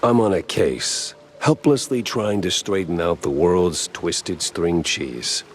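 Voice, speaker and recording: Deep wry gumshoe, deadpan wisecracks, noir cartoon game, hard-boiled mouse. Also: male voice, slow, a little hiss or hum. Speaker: private investigator protagonist.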